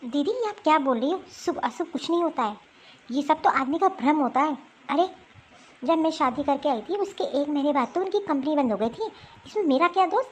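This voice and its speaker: A woman's voice: sits high, expressive, fairly quick. A woman voicing a wronged young wife in a cartoon family drama, protesting and explaining as she defends herself.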